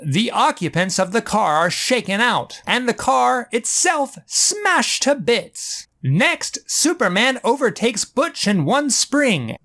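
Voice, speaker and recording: Man narrator, animated delivery, comic dub with chatty asides. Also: extremely high pitch, slow pace, few pauses. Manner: lively storyteller, casual in asides.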